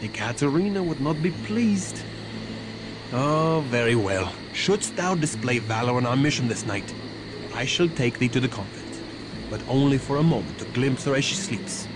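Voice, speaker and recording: An actor playing a grave, stern medieval vampire warrior and mentor, speaking in solemn archaic phrases for a video game. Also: male voice, mid-range voice, expressive, normal speed, background noise, close to an everyday voice. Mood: solemn counsel. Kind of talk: theatrical video game acting.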